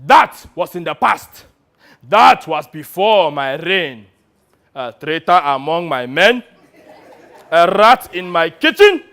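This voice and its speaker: A man performs an imperious African general in a comic stage story. He is indignant and theatrical, booming out each phrase slowly, with a high voice that swings widely. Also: very animated, pushed and bright, heavily acted. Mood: outraged, imperious. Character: pompous, outraged African warlord general in a film rehearsal.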